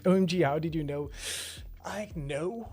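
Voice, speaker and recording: A man's voice: fairly high pitch, measured pace, darkish tone, husky. A South African man in his twenties, chatting casually on a livestream in a joking, rambling way and talking straight to his chat. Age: 20s. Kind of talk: casual live stream chat.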